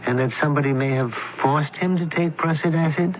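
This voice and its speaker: An actor playing a brisk, shrewd doctor turned investigator in an old-time radio mystery, questioning with clipped authority. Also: male voice, a little high, natural voice. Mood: measured, shrewd. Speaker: investigator character. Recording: narrow, tinny old radio recording, hiss.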